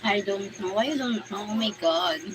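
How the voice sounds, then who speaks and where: A woman's voice: medium pitch, moderate pace, very noisy. A Filipino woman in her forties, chatting casually on a live stream, reading out comments, thanking viewers by name and laughing often. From Philippines. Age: 40s. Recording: music playing under voice. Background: music playing.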